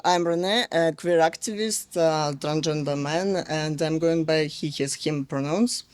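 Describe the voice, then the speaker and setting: A man from Russia with a Russian accent, giving a public speech slowly and haltingly with many pauses and fillers. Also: fairly high pitch, darkish tone. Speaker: guest speaker.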